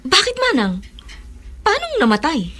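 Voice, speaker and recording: A woman's voice: high voice, huge pitch swings, measured pace, pushed and bright, heavily acted. A woman playing an anxious, questioning young woman in a radio drama. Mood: worried.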